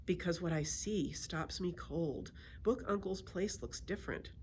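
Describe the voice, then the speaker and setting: A woman reading a children's story aloud in an expressive storytelling style. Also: lowish voice, unhurried, a little hiss or hum. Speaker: library story reader.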